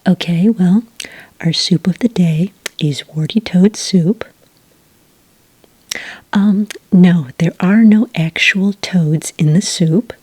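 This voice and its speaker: A woman speaking very softly and breathily in an ASMR roleplay as a friendly cafe witch taking an order, gentle, unhurried and chatty, close to the microphone. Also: on the low side, no echo. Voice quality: breathy, whispery. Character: friendly, obliging witch serving at a quaint cafe. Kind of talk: soft-spoken whispered ASMR customer-service roleplay. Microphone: close, dry microphone.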